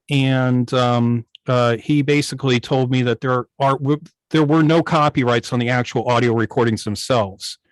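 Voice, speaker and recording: A middle-aged man explaining things casually on a video call, often pausing to search for his words. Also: medium pitch, no echo. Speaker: guest, old-time radio station operator. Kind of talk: casual explanatory talk on a club video meeting.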